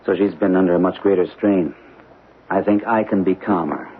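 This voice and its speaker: An actor playing a protective husband and father who tries to stay calm about a ghost, speaking earnestly in a 1970s radio drama. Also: deep voice, normal speed, natural voice. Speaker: homeowner. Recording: narrow-band old radio broadcast with hiss.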